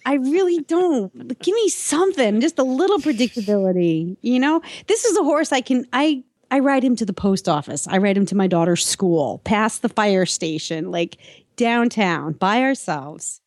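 A woman's voice: a little high, big pitch swings, moderate pace, a little mellow, dry recording, studio quality. A woman with an American accent chatting casually as a podcast co-host. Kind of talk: casual chat.

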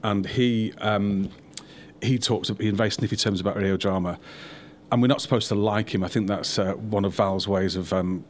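A man's voice: lowish voice, steady tone, moderate pace, slight background noise. Man hosting a podcast, wryly humorous. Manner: wry, self-deprecating humour. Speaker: podcast host. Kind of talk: podcast introduction and informal event hosting.

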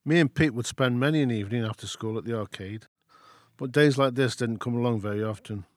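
A man's voice: mid-range voice, fairly quick, no room sound, studio quality, lightly acted. A man playing a wry grown-up narrator looking back on his boyhood in an amateur audio drama. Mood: reminiscing.

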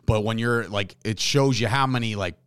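A man hosting a sports podcast, talking in a casual, animated way and often repeating himself and asking "right?". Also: on the low side, normal speed, clean tone, no room sound, clean studio sound. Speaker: podcast host.

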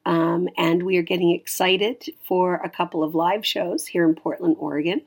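A woman's voice: lowish voice, fairly even intonation, normal speed. A woman hosting a podcast, giving an informal, hesitant and appreciative announcement to listeners. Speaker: podcast creator and host.

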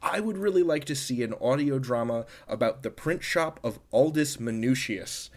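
Male interviewer for an arts podcast. Mid-range pitch, conversational delivery. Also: lively intonation, moderate pace.

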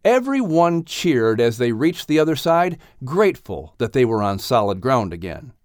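Male narrator for children's story. Animated melody, medium pitch, close studio-dry sound. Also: normal speed, no room sound. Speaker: audiobook narrator.

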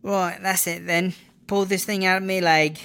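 A woman's voice: on the low side, fairly even intonation, moderate pace, a bit bright, clear, resonant tone. A woman playing a defiant, foul-mouthed tough prisoner and rapper with a British accent in a comedy audio drama, blunt and cheeky. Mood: pained, gruff.

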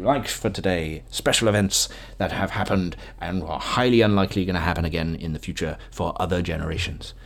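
A man hosting a casual solo podcast, chatting in a rambling, jokey way and often interrupting himself. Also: on the low side. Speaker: podcast host.

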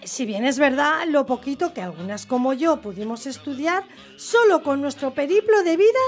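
A woman's voice: sits high, lively intonation, normal speed. A woman with a Basque Country Spanish accent playing a cheerful, rambling grandmother in her sixties calling a radio quiz. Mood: effusive. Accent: Spain, Basque Country. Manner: rambling, effusive, homely. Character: chatty, cheerful widowed grandmother of sixty-six phoning in. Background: music.

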